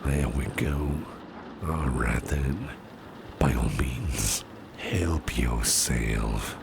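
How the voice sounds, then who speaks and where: A man acts out a protective gentleman boss in an ASMR roleplay, with an extremely low, gravelly voice. He speaks slowly and softly, often close to a whisper, reassuring and courteous, over clearly audible background ambience. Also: heavy background noise, full character voice. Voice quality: very deep, gravelly, often breathy and near-whispered. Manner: gentle, reassuring, unhurried, repeats phrases softly. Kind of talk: ASMR roleplay, soft personal attention.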